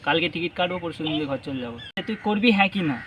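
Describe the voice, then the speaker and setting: Quick, higher-pitched young man. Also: expressive. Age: young adult. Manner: teasing, coaxing, insistent questioning. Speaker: vlogger making a prank phone call. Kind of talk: casual chat on a phone call. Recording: noisy with birds squawking behind. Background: parrot squawks and ambient noise.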